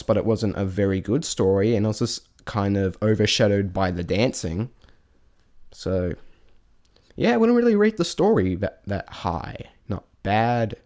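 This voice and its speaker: A man talks through his opinions off the cuff in a fairly low voice. His pitch swings up and down a lot, while his delivery rambles and stumbles, doubling back to correct itself. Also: darkish tone. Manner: rambling, halting, self-correcting, hesitant. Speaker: vlogger reviewing a stage show. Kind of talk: unscripted spoken review, casual chat.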